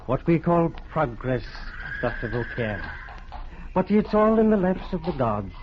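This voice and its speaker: An actor playing a polite, inquisitive professor in an old radio drama, speaking in a measured and slightly hesitant way. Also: male voice, a little high, very animated. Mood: thoughtful, questioning. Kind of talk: 1940s-50s radio drama acting. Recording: dull, noisy vintage radio broadcast.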